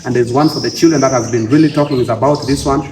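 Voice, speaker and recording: A man's voice: medium pitch, steady tone, rapid-fire, a little mellow. A man with a Ugandan accent hosting a conversational devotional interview, often repeating and rephrasing his points as he talks. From Eastern Uganda. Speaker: devotional show host. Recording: echoey room.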